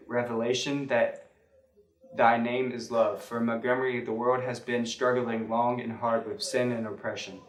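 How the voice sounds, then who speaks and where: Man, medium-low everyday pitch, scripted devotional reading, occasional stumbles and self-corrections, echoey room sound. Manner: halting reader, stumbles and corrects words. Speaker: church member giving a devotional reading. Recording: roomy, echoing sound.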